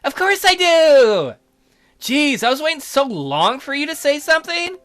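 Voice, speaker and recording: Excitable, demanding schoolgirl love interest for a romance game, voiced by a man, raised pitch, forceful delivery. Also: measured pace, faint music behind, full character voice. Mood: eager, scolding. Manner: pushy, excitable. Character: bossy, eager teenage girl in a romance game.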